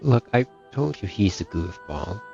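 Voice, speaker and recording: Male game streamer, lowish voice, slow casual reactions, dull muffled audio, faint music behind. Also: very animated, gravelly, dark tone.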